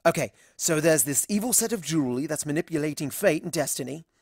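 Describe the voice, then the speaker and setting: A man's voice: on the high side, animated, quick pace, darkish tone, no room sound, clean studio sound. A man voicing an eccentric, dramatic male pony doctor in a storybook reading, with an earnest theatrical manner. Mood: exasperated. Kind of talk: storybook character dialogue.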